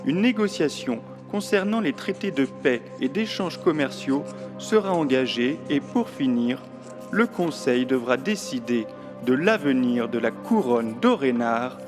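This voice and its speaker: An actor playing a solemn, formal herald presiding over a royal council in a fantasy audio drama. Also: male voice, mid-range voice, animated, unhurried, over music, lightly acted. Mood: ceremonious. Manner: formal, ceremonious proclamation.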